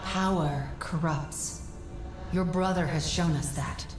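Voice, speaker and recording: A woman playing a calm, selfless artificial being who guides a warrior in a video game, with composed, formal diction. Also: sits low, slow pace. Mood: calm, earnest. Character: calm, selfless female construct guiding a warrior. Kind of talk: dramatic video game cutscene acting. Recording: roomy echo, music under the voice.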